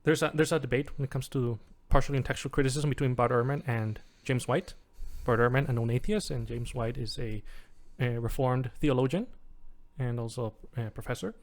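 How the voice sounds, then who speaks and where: A man's voice: mid-range voice, fairly quick. A man with a mid-range voice talking in a casual podcast discussion. He hesitates and restarts his sentences as he searches for the details he wants.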